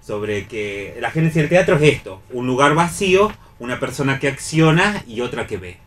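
Expressive Argentine man explaining. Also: mid-range voice, a little hiss or hum. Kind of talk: discussion of theatre on radio, partly reading aloud.

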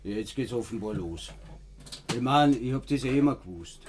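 An actor playing a grim, self-assured survivalist villager in a radio play, blunt and colloquial, with an Austrian accent. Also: male voice, medium pitch, expressive, normal speed, darkish tone, slight background noise, lightly acted. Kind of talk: naturalistic radio play dialogue.

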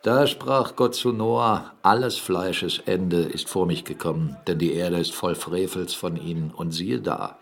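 An actor playing a forceful, excitable captain and mill owner in a radio play, mocking and animated, swinging between murmurs and exclamations. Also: male voice, deep voice, unhurried, creaky, few pauses. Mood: grave, reciting. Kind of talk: German radio play dialogue.

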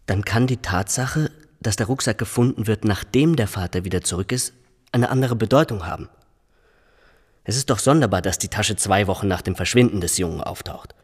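A matter-of-fact detective in a radio drama. His voice sits a little low in a room with noticeable reverb. He lays out his reasoning in a measured, methodical way. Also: husky. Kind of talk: German radio drama acting.

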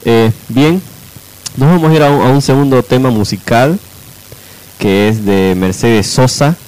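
A man's voice: mid-range voice, measured pace, darkish tone, rough recording. A man hosting an informal radio talk show, often adding checking tag questions.

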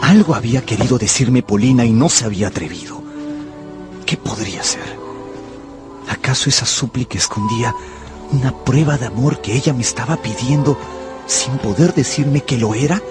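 Actor as a fervent young tutor over music. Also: male voice, mid-range voice, lively intonation, moderate pace, close to an everyday voice. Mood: troubled narration. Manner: fervent, theatrical. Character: impassioned, lovestruck young tutor telling his story. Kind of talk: dramatic first-person narration and radio drama acting in Spanish.

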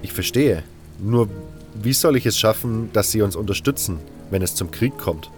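A man's voice: on the low side, lively intonation, normal speed, natural voice. A man playing a wary camp member who narrates his own story in a German-language fan audio drama, with music underneath. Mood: questioning, sceptical. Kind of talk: first-person audio drama narration with dialogue.

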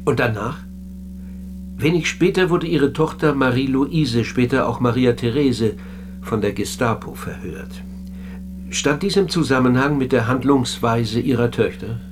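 An actor as a measured, mid-pitched male interviewer-narrator. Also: over music, close to an everyday voice. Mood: probing. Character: polite, probing male interviewer questioning a dead general. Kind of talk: radio drama dialogue and scripted narration.

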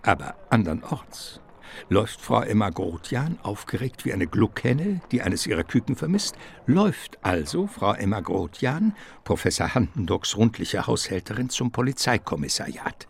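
A man narrating a radio play in an expressive, theatrical style, with suspense and irony. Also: fairly low pitch, a little slow, vocal fry, slightly rough.

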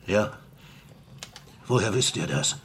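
An actor playing a bitter, remorseful villain in a German radio play, with a gravelly voice and a slow, halting delivery. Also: male voice, lowish voice, expressive, fairly bright tone, slight background noise. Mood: grim. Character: dying, remorseful villain confessing, scornful and bitter.

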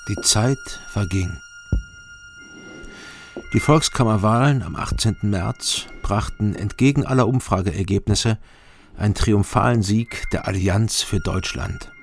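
An actor playing a haunted middle-aged former border guard from East Germany, narrating his thoughts in a subdued, halting way in a radio drama. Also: a little hiss or hum. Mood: haunted, brooding. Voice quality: low, gravelly. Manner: subdued, halting, weighty short phrases. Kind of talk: radio drama inner monologue. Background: instrumental music.

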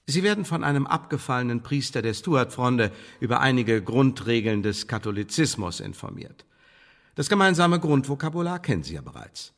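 An actor playing a coolly menacing English intelligence official in a radio play, speaking in formal, measured, condescending bureaucratic phrases. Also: male voice, mid-range voice, close to an everyday voice. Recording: studio radio play with long reverb.

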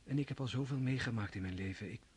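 An actor playing a gentle, earnest young nobleman and officer who speaks softly to his fiancée in a radio drama. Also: mid-range voice, fast talker, slight background noise, lightly acted. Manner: tender, gentle, earnest pleading.

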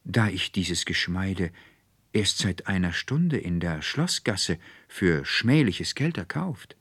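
A man's voice: sits low, very animated, slow pace, fairly bright tone, breathy edge, dry recording, exaggerated voice. A man voicing a dismayed, touchy court councillor in an audiobook, stiffly formal and indignant. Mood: indignant surprise. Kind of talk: literary audiobook character dialogue.

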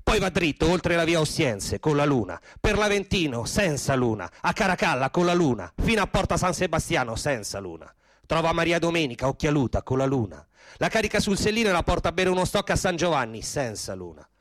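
Theatrical male narrator, lively pitch. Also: brisk, no echo. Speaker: actor-narrator. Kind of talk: theatrical scripted narration for radio drama.

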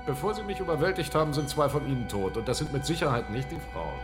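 An actor playing a deranged armed survivor in a German radio drama, with smug mock politeness that can break into childlike pleading. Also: male voice, medium pitch, animated, fast, natural voice. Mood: smug, threatening. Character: deranged armed male survivor, menacing, devoted to his toy. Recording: studio radio drama with clear music bed.

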